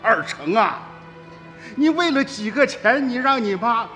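Animated, stern old village uncle. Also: male voice, extremely high pitch, a little slow, exaggerated voice. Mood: indignant, scolding. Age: older. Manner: emphatic, exclamatory rustic way of talking. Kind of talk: radio drama acting. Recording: reverberant studio drama with music.

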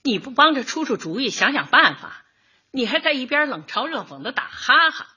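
A woman's voice: sits high, big pitch swings, bright, forceful tone, slightly rough, exaggerated voice. An actress playing a proud, blunt older neighborhood woman in a radio comedy. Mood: offended. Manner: blunt, colloquial, quick to retort. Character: feisty older neighborhood woman, square-dance leader, proud and easily wronged. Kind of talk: radio drama acting, light comedy. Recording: slightly muffled, dull audio.

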